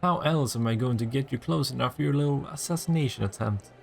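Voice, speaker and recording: Man acting a sly, creaky-voiced teasing vampire. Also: mid-range voice, fast talker, faint music behind, natural voice. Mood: sly, conspiratorial. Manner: sardonic, taunting, playful. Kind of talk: roleplay audio drama, intimate one-sided dialogue.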